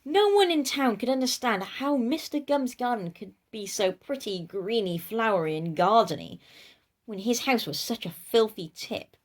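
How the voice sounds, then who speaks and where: A woman's voice: a little high, lively intonation, normal speed. Woman doing a kids storybook read, playful n theatrical, chats right at the listener. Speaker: storyteller reading a children's book. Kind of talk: animated children's storybook narration.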